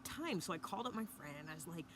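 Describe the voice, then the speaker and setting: A woman talking casually to camera in a hushed early-morning voice, recording outdoors by a fire pit with a mountain view. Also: lowish voice, expressive, quick pace. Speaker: vlogger. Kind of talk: casual reflective talk to camera. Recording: outdoor ambience with background noise.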